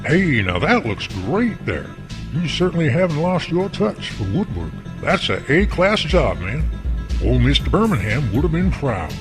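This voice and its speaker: A man plays a friendly, encouraging shop boss in an amateur radio drama. His voice is slightly high and swings in pitch, with music playing clearly underneath. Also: very animated, measured pace. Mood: admiring. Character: hearty, friendly workshop boss.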